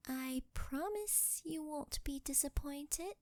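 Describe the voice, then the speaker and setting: A young woman playing a sweet, shy, clingy angel turned succubus in an ASMR roleplay, speaking softly and breathily with a coy, halting, intimate delivery close to the mic. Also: sits high, lively intonation, no echo, heavily acted. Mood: coy teasing. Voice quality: soft, breathy, girlish. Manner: coy, halting, intimate, often dropping into whispers. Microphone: close ASMR microphone, dry sound.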